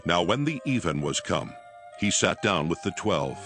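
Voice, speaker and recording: A man with a very low voice narrates scripture from a script. Prominent music runs behind his reading. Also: sing-song, moderate pace, vocal fry, a bit bright.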